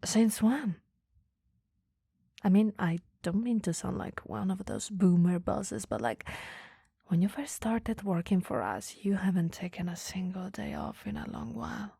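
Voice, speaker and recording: A woman acts out a caring female boss with a flirty, easily flustered streak in an intimate ASMR roleplay. The voice is very breathy and mellow, often slipping into a whisper. It sits close and dry on the microphone, with a gently teasing, hesitant delivery. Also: mid-range voice, animated, measured pace, no echo, exaggerated voice. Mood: puzzled, probing. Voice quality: breathy, soft.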